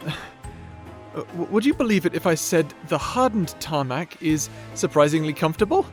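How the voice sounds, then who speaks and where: Man playing a stammering young wizard, higher voice. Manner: stammering, apologetic, flustered. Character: shy, nerdy, awkward young male wizard, anxious and polite. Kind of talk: fantasy audio roleplay acting.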